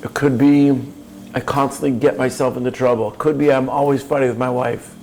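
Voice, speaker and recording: A man's voice: medium pitch, flat delivery, a little fast, gravelly, a little mellow, slight background noise. A man giving a reflective coaching talk, earnest and probing, speaking directly to the listener. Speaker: speaker on personal growth.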